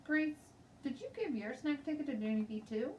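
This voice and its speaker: A woman's voice: high voice, big pitch swings, moderate pace, a little mellow, pitched above the performer's own voice. Woman acting a stern, suspicious schoolteacher. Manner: stern, questioning, commanding. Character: stern, suspicious female schoolteacher scolding her pupils. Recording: roomy, distant mic, faint noise.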